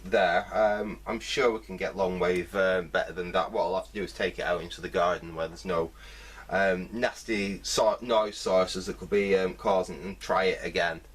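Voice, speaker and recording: A man giving casual, hesitant, rambling hands-on commentary as a repair video host. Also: deep voice. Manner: rambling, hesitant, thinking aloud.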